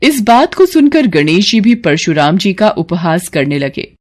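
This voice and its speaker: Very animated female storyteller. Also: fairly low pitch, a little slow. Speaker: narrator. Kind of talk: scripted devotional storytelling.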